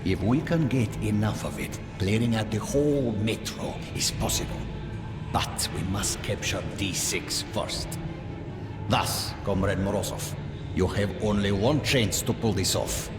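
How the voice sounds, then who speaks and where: An actor playing a scheming military commander in a video game, measured and authoritative. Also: male voice, fairly low pitch, natural voice. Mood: cold, resolute. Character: cold scheming military commander plotting conquest. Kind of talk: video game cutscene acting. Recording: echoey hall, loud music behind.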